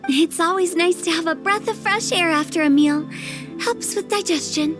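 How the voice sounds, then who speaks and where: A perky, eccentric young hostess in a game. Her very high voice is chirpy and self-assured, with light music behind. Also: moderate pace. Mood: gracious, cheerful. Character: perky, eccentric, confident young woman host. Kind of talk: video game dub dialogue.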